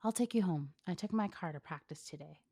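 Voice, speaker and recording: A woman's voice: on the low side, expressive, brisk, dark tone, no room sound, studio quality. A woman voicing a supportive teenage girl best friend in a story reading, earnest, firm and soothing.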